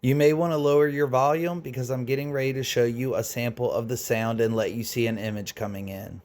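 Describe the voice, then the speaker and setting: A man's voice: medium pitch, fairly even intonation, brisk, a little mellow. A man giving an informal, step-by-step how-to narration as the host of a screen-recorded tutorial.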